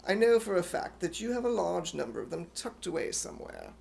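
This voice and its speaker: A man voicing a suave, sly gentleman blackmailer with a mock-courteous manner and a put-on British accent, in radio comedy style. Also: high voice, very animated, fairly quick, creaky, slight background noise, full character voice. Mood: sly, menacing. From United States. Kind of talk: 1950s radio comedy acting.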